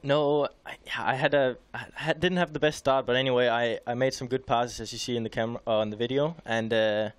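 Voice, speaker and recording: Man, young adult, rider guest, candid, halting, dry close sound, headset mic. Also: mid-range voice, fairly even intonation, clear, resonant tone, no echo. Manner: candid, halting, many restarts. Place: small indoor TV studio.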